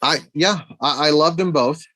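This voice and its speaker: A man's voice: on the high side, normal speed, a bit bright, dry recording. A middle-aged man co-hosting a podcast, talking through film opinions casually and thinking aloud, often repeating words as he goes. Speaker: podcast co-host reviewing movies.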